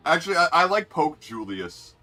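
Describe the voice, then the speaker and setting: Bright, lively young man streaming. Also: fairly high pitch, normal speed. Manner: rambling, joking, reads out player names. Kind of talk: casual live game commentary.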